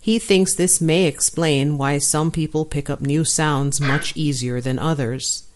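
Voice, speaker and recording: A voice reading a science news article aloud in an even, neutral, scripted way. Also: medium pitch, measured pace. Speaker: article audio narrator.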